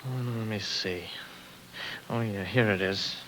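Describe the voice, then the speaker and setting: Forceful, hard-boiled space traveler. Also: male voice, fairly low pitch, takes it slowly, a little hiss or hum, low-quality recording. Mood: bewildered. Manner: plain-spoken, questioning, hard-boiled. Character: wary, bewildered male space traveler, hard-boiled narrator. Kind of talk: 1950s radio drama acting and narration. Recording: old 1950s radio recording.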